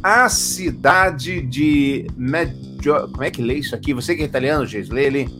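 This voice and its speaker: A man's voice: medium pitch, moderate pace, pushed and bright, soft background music. A Brazilian man co-hosting a podcast, reading news stories aloud and adding dry, sarcastic comments.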